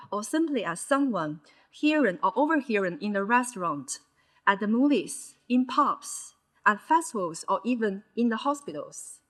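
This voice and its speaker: A woman in her thirties from mainland China, a Chinese speaker with a Chinese accent touched by Scouse, giving a conference talk in measured short phrases. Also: medium pitch, a bit bright. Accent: Chinese with a Liverpool (Scouse) tinge. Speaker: conference presenter. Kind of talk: personal storytelling talk.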